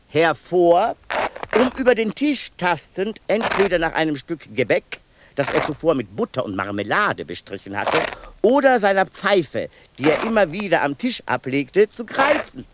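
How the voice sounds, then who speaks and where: An obsessive asylum inmate in a radio play, a fairly high-pitched man who winds through long, circling sentences and keeps repeating the same names. A clear hiss runs under the voice. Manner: long-winded, circling, endlessly repeating himself. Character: male asylum inmate, obsessive pedantic storyteller. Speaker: inmate narrating family deaths. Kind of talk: 1990s radio play acting.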